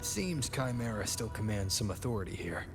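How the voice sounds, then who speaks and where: A man's voice: fairly low pitch, sing-song, moderate pace. A man giving casual reaction commentary as a game streamer. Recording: loud game music and battle noise behind.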